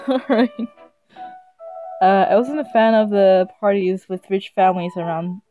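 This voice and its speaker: A woman's voice: medium pitch, takes it slowly, long pauses, phone-quality sound, faint music behind. A woman streaming a visual novel, reading it aloud casually, often stumbling over the words in a giggly way. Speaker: game streamer.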